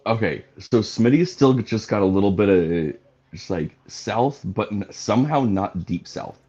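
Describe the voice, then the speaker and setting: Deep husky male guest on a call. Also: lively intonation, a little slow, mellow, dull audio. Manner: blunt, profane, dry humor. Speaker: podcast guest. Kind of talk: casual banter among reptile keepers.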